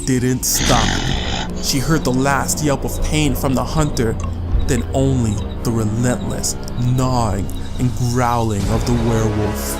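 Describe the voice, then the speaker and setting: A man reading a story as an audiobook narrator, in a dramatic scripted style. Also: medium pitch.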